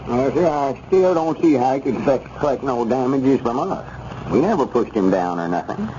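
Folksy, indignant old Southern shopkeeper, played by a male actor, animated rustic drawl, noisy vintage radio serial. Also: mid-range voice, moderate pace, natural voice. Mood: indignant, suspicious. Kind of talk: 1930s radio comedy serial acting. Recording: muffled, noisy 1930s radio recording.

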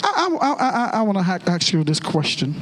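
High-voiced, animated Haitian man preaching. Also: a little fast, slight background noise. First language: Haitian Creole and French. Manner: animated, re-enacts dialogue in an exclaiming voice. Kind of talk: storytelling sermon.

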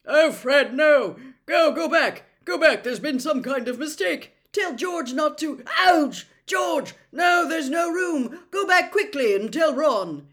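Woman as middle-aged wizard father, storybook character, excitable, muddled, kindly, apologetic. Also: mid-range voice, normal speed, close to an everyday voice. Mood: flustered, urgent. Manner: eager, flustered, apologetic.

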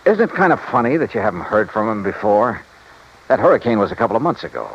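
A man's voice: lowish voice, sing-song, quick pace, a little hiss or hum. A man playing a skeptical private investigator in an old radio detective drama, with a wry, clipped way of questioning and narrating. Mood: skeptical, probing. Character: hard-boiled, skeptical male private investigator, dryly probing. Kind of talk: 1950s-60s radio detective drama, first-person narration. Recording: dull old radio recording, music behind.

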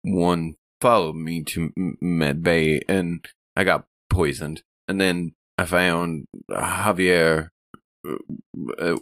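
Man as a low, stammering spaceship crewman. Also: a bit bright, no room sound, exaggerated voice. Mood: shaken, urgent. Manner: halting, stammering. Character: rattled male spaceship crewman, nervous and halting.

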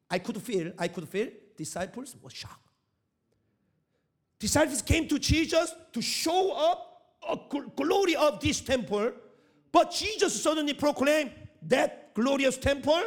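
A middle-aged man speaking English with a Korean accent, preaching in a fairly high voice. He talks haltingly, restarting phrases and pushing up on key words. Korean is his first language, and he works as a missionary pastor. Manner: halting non-native English with restarts, rising emphasis. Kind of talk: sermon.

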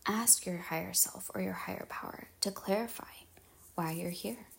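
A woman leading a guided meditation in measured, solemn phrases with pauses, her husky voice trailing into breathiness. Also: mid-range voice, a little hiss or hum.

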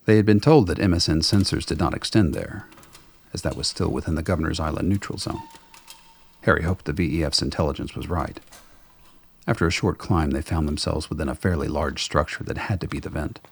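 A man with a very deep, husky voice narrates a radio drama. His scripted delivery is measured and faintly wry, in a storytelling style.

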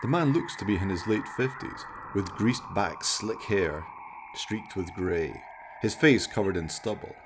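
A man's voice: deep voice, unhurried, background noise. A man giving scripted narration as the storyteller of a radio play.

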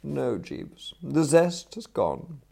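A man voicing an excitable young upper-class English gentleman in an audiobook, with a blustering, exclamatory, slangy manner. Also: on the high side, slow, gravelly, slightly rough, voice pushed up, heavily acted. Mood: solemn, resolute. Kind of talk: acted audiobook dialogue.

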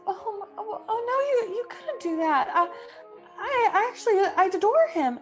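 Earnest young heroine played by a woman: high voice, flustered, stammering, radio play. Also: animated, normal speed, soft background music. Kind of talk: classic radio play acting.

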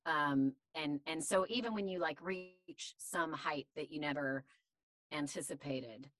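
A woman voice actor with a deep voice, talking candidly and chattily in an interview, with many fillers. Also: unhurried, fairly bright tone, no room sound. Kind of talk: casual interview chat.

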